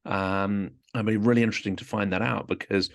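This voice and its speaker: Male host, low gravelly voice, relaxed chatty questions. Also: brisk. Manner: relaxed, chatty, lots of "you know". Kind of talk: casual interview chat.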